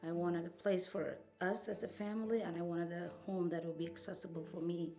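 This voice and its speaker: A woman's voice: lowish voice, level tone, brisk. A woman from South Sudan, a future homeowner, giving a plain, slightly hesitant personal testimony. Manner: plain, a little hesitant, with fillers. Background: soft guitar music.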